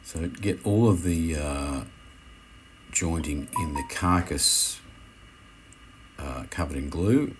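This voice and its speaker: Male instructor, extremely deep register, unscripted tutorial voice-over with hesitant phrasing, some noise behind the voice. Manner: hesitant, halting, self-correcting. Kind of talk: unscripted how-to voice-over commentary.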